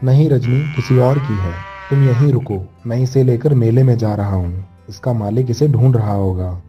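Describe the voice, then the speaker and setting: A man playing a caring, patient poor village husband in an animated bedtime story, speaking earnestly and reassuringly. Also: mid-range voice, normal speed, highs cut off, soft background music, close to an everyday voice. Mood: easygoing, earnest.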